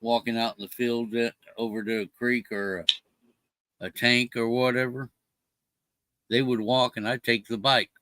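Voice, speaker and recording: Slow, unhurried man with smooth voice. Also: medium pitch, little pitch movement, bright, forceful tone, no room sound. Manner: rambling with many fillers and restarts. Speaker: livestream co-host. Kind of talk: casual chat.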